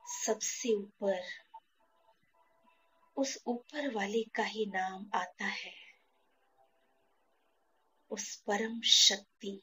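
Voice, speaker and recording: A woman from India guiding a meditation, in short, measured phrases with pauses between them. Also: mid-range voice, sharp tone, slight background noise, poor audio. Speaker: guided meditation teacher. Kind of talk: slow guided meditation. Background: background music.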